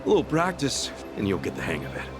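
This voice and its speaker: A man's voice: mid-range voice, wildly swooping pitch, fast. A man playing a brash, good-natured, streetwise tough guy in a game cutscene, talking bluntly and casually in slang. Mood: cool, defiant. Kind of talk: English video game cutscene dub acting. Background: music.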